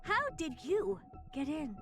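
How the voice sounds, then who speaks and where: An imperious, impatient genius scientist from a video game. Her voice sits fairly high and is delivered slowly and theatrically, with dry disdain. Music plays prominently behind her. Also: very animated, heavily acted. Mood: grudging. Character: haughty, impatient female genius scientist, grudgingly reasonable.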